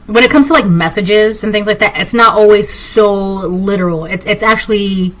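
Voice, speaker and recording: A woman's voice: mid-range voice, normal speed, low-quality recording. A Spanish-speaking woman from Puerto Rico giving a casual tarot reading, rambling with lots of fillers and restarts. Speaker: tarot reader. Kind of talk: casual intuitive reading to camera.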